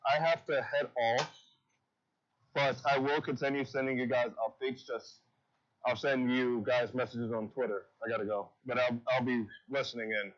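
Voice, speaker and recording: A man's voice: mid-range voice, steady tone, normal speed. A man casually giving live incident updates as a stream contributor, heard through dull lo-fi audio.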